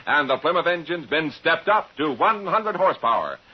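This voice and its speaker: A man's voice: a little high, very animated, normal speed, slightly rough. A man with an American accent selling in the style of a 1950s radio advertisement. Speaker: commercial announcer. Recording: muffled old radio recording.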